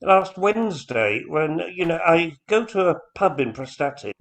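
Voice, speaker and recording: A man's voice: fairly high pitch, sing-song, normal speed. A Welsh man in a casual chat on a video call, telling a funny pub story with a laugh in his voice. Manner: chuckling storyteller who chants a crowd. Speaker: folk club member.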